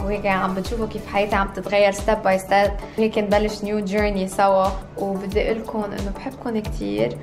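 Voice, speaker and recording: A woman in her thirties with a Lebanese Arabic accent, chatting casually and effusively to her vlog, mixing in English. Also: medium pitch. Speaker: vlogger. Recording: music behind the voice.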